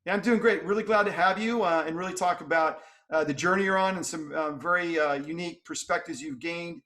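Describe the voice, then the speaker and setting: Quick-talking upbeat interview host. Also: male voice, on the high side, bright, forceful tone. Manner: upbeat, many "uh" fillers. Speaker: podcast host. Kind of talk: video call interview. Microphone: webcam on video call.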